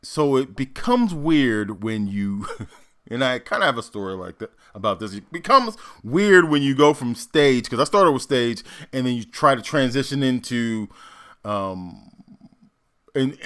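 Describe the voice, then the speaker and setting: A man with a bright, forceful voice gives casual commentary as an actor. His pitch jumps around as he makes emphatic points, halting to think aloud. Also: very animated. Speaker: actor hosting reaction commentary. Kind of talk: casual reaction commentary, explaining stagecraft.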